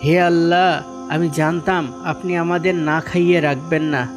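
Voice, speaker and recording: A man's voice: a little high, fairly even intonation, normal speed, natural voice. A man voicing a kindly, devout and patient fisherman husband in a cartoon, speaking with assured, explaining manner. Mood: grateful, devout. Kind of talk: animated cartoon dialogue in Bengali. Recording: music behind the voice.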